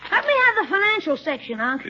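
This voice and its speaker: A young American boy in a 1950s radio comedy, heard through a muffled old recording. The voice is extremely high and bouncy, with a cheeky, wisecracking manner. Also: big pitch swings, quick pace, very noisy. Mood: cheeky, eager.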